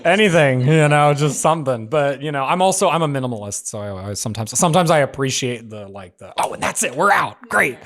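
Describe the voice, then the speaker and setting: A man's voice: medium pitch, lively intonation, a little fast, few pauses, fairly bright tone. Male panelist, mid-range voice, casual, effusive, stammering start-and-stop delivery. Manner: effusive, stammering, repeats words. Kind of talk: casual script discussion.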